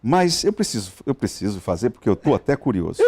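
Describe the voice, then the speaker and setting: A man's voice: mid-range voice, very animated, a little fast, a little mellow, husky. A male TV host interviewing a guest in a studio, talking with many fillers and restarts. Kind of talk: live TV interview.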